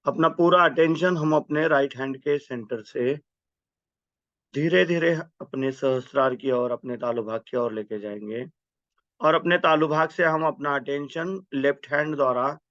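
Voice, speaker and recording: A man with a medium-pitched voice guides a meditation, giving instructions and prayers in a reverent manner. The recording is dry and somewhat dull, with little room sound. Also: a little slow, fairly bright tone, no echo.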